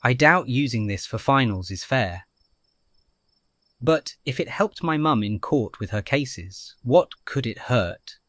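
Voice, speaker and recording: A man's voice: mid-range voice, lively intonation, unhurried, a bit bright, no room sound, clean studio sound. A man acting a nervous but cheeky young college student in an audio drama. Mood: wry, musing.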